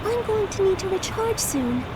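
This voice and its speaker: A woman's voice: very high-pitched, expressive, normal speed, noisy background. A woman playing a polite, obedient young female android in a video game cutscene, formal and courteous. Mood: calm, obliging.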